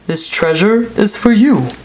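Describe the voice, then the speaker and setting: A woman's voice: medium pitch, animated, a little mellow, slight background noise, close to an everyday voice, poor audio. A woman voicing stern guardian angels who make grand, declamatory announcements in a children's storybook. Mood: proclaiming. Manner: slow, declamatory.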